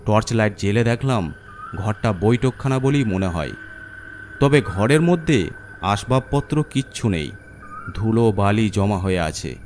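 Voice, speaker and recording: A man's voice: lowish voice, expressive, measured pace, a little mellow, a little hiss or hum. A man narrating a scripted horror story in a dramatic storytelling style.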